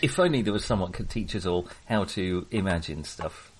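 Husky man with lively, swooping pitch. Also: moderate pace, poor audio. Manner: jokey, wry. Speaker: podcast host. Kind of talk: casual film discussion.

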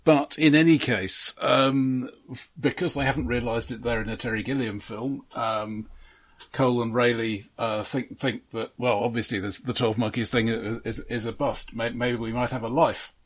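A man co-hosting a casual film discussion, explaining things in a measured, halting way. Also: mid-range voice, steady tone. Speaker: podcast co-host.

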